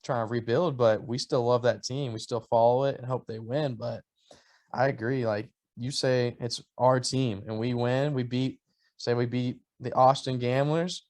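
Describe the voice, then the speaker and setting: A man from Oklahoma joins a sports podcast as a guest. He talks with eager, earnest energy and sometimes rushes his words. His voice sits at an ordinary mid pitch. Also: a little mellow. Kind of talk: casual sports chat.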